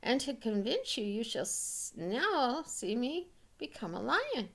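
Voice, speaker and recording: A woman reading a storybook aloud and playing a proud, brisk, boastful male ogre. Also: on the high side, big pitch swings, full character voice.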